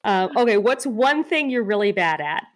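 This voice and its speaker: A woman's voice: medium pitch, very animated, normal speed. A woman hosting a podcast, asking short questions and encouraging guests. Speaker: podcast host. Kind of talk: interviewing guests.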